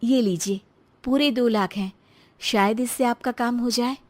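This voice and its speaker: A woman's voice: a little high, measured pace, darkish tone, lightly acted. A woman voicing a sensible, thrifty wife in a story, speaking pointedly and reproachfully. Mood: pointed, proud.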